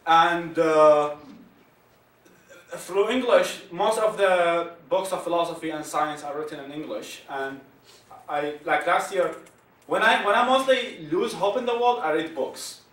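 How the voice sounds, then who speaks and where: A young man from Iraq, Arabic native, with an Iraqi Arabic accent, answering audience questions at a talk in a halting way, repeating words and laughing often. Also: on the high side, fairly bright tone. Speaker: guest speaker. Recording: echoey room from far off, faint ambience.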